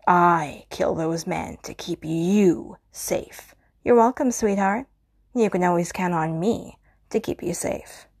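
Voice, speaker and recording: A woman's voice: on the low side, breathy edge, heavily acted. A woman voicing an obsessive, possessive stalker who kills for love, speaking intimately and often in a menacing whisper in an audio roleplay.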